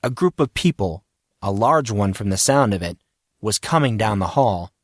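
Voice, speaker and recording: A man's voice: fairly low pitch, expressive, moderate pace, no echo, studio quality. A man reading scripted, dramatic fiction as an audiobook narrator.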